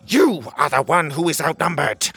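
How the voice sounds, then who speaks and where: A man voicing a possessive, defiant king in a children's fantasy story, with a shrill voice and spitting delivery. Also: big pitch swings, normal speed, gravelly, bright, forceful tone, slightly rough, no echo, exaggerated voice.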